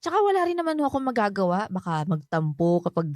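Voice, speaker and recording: A woman's voice: medium pitch, big pitch swings, fast talker, darkish tone, dry recording, studio quality, natural voice. An actress playing a cheerful, polite young Filipino woman in a radio drama, chatty and respectful toward an older family friend. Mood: bashful, apologetic. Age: young adult.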